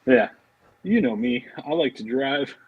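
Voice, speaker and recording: A man chatting casually as a podcast guest, talking in a loose, halting way. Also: medium pitch, sing-song, gravelly, darkish tone, breathy edge, dry recording, muffled recording. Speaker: podcast guest, fishing brand marketing director. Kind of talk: casual chat.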